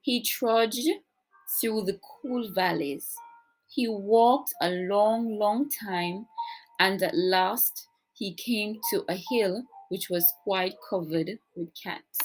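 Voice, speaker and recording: A woman reads a picture book aloud to children at an unhurried pace. Her delivery is deliberate and expressive, shaped like classic storytelling, with a mid-range voice. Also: mid-range voice, soft background music, low-quality recording. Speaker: storybook reader, teacher.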